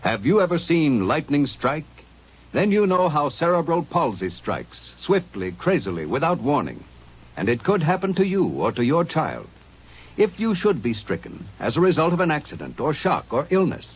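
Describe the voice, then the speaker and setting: Urgent, animated male radio announcer. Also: fairly high pitch, normal speed, breathy edge. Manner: urgent, emphatic delivery. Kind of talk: dramatic public service announcement. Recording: dull lo-fi old radio broadcast.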